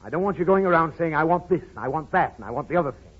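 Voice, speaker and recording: A man's voice: fairly high pitch, lively intonation, racing, slightly rough. An American man playing an exasperated, blustering father who scolds and protests in an old radio comedy. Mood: firm, impatient. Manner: scolding, protesting, easily flustered. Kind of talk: 1930s radio comedy acting. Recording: muffled, hissy old 1930s radio recording.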